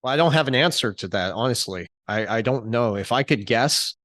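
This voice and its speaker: A man hosting an interview, asking measured questions and often giving short acknowledgments. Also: medium pitch, animated, fairly bright tone, dry recording, clean studio sound. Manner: measured, frequent short backchannels. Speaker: interview host.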